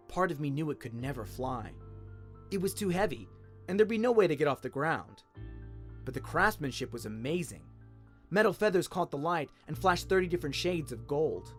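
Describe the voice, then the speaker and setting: Higher-pitched male storyteller over music. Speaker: audiobook narrator. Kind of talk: dramatic scripted story narration.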